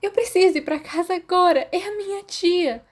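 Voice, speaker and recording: A woman plays a distraught schoolgirl in a storybook reading. The voice jumps high, pleading and upset. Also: moderate pace. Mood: tearful, pleading.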